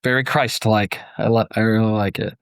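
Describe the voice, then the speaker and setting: A man's voice: on the low side, fairly even intonation, normal speed, gravelly, clear, resonant tone, no room sound. Casual male podcast host, dry close sound. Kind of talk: casual conversational podcast discussion.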